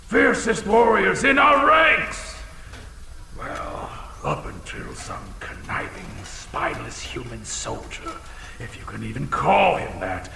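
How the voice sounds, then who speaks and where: A man voicing a battle-scarred old dragon in an audio drama, fiercely proud and bombastic, with a gravelly, folksy delivery. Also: medium pitch, pushed and bright, full character voice. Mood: gruff boasting. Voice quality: gravelly, creaky. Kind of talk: audio drama character acting. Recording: reverberant chamber, some background noise.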